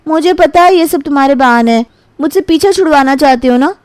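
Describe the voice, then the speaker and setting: A high, petulant young woman's voice, put on by a woman storyteller. She is a suspicious girlfriend in an animated storybook tale, scolding and accusing in a close, dry sound. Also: fairly quick, no room sound, lightly acted. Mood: hurt, annoyed.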